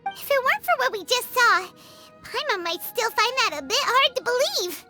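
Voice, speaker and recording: A woman voicing a tiny, excitable, childlike floating fairy companion in a video game, blurting out reactions and fretting. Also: very high-pitched, moderate pace, exaggerated voice. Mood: curious, eager. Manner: refers to self in third person, blurts reactions. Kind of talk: English video game voice acting. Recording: game music under the voice.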